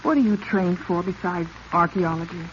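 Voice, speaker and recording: A woman's voice: on the low side, moderate pace, natural voice. An actress playing a frank, discontented young woman, a professor's daughter, in a 1940s radio drama. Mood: firm, frank. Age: young adult. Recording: muffled, hissy vintage radio recording.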